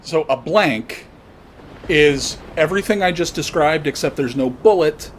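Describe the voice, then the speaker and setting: Male commentator, higher-pitched voice, opinionated and emphatic solo delivery, with faint rumbling noise on the recording. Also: unhurried. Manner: emphatic, repeats and spells out points. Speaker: podcast host commentator.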